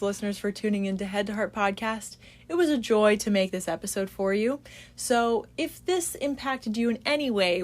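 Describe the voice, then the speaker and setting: A woman co-hosting a faith podcast, speaking in a steady, earnest way as she sums up points and thanks listeners. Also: a little high. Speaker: podcast co-host. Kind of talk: casual faith chat.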